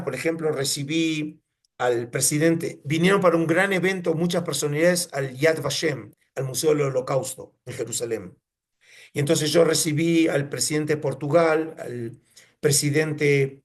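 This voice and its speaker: Argentine guy, curator type, voice a bit high, telling anecdotes in an interview, checks in with little questions. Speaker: museum curator, interview guest.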